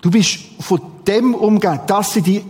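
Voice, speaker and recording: Male preacher, Swiss German accent. Husky, slightly high voice, dramatic and emphatic sermon delivery. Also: normal speed, a little mellow. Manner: dramatic, emphatic delivery with repeated short phrases.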